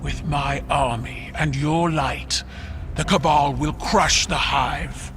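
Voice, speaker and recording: An actor playing a proud, imperious alien warrior empress in a video game cinematic, speaking in a regal, measured and forceful way. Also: medium pitch, fairly even intonation. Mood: commanding. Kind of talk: dramatic game cinematic acting. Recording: prominent cinematic music behind voice. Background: music.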